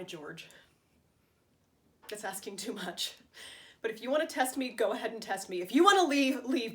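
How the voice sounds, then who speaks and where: A woman's voice: on the high side, close to an everyday voice. A woman acting a dramatic stage monologue as a wounded, defiant wife pleading fiercely with her husband, emphatic and emotionally raw. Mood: tender, steadfast.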